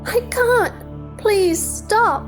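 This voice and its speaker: A woman's voice: extremely high pitch, extremely slow, faint music behind, heavily acted. A woman playing a polite, puzzled, sensible young girl in a theatrical children's storybook drama, earnest and questioning. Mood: alarmed.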